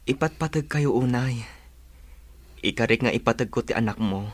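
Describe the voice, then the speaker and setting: An actor playing an earnest, devoted young suitor in a radio drama. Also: male voice, mid-range voice, normal speed, close to an everyday voice. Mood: earnest, tender.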